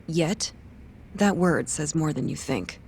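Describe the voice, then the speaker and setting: A woman's voice: low-pitched, expressive, slow pace, creaky, darkish tone, slight background noise, heavily acted. A woman voicing a dry, knowing character in a video game cutscene.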